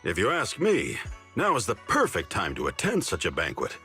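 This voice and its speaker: An actor playing a cunning, easygoing senior disciple in a video game, explaining things with confidence and expression. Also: male voice, on the low side, huge pitch swings, normal speed, bright, forceful tone, soft background music, heavily acted. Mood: easygoing, persuasive.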